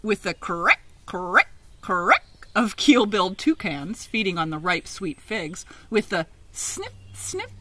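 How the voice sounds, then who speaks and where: A middle-aged woman reads a children's picture book aloud outdoors, with expressive, emphatic, sing-song phrasing. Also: medium pitch, unhurried, no room sound. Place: outdoors in a leafy garden.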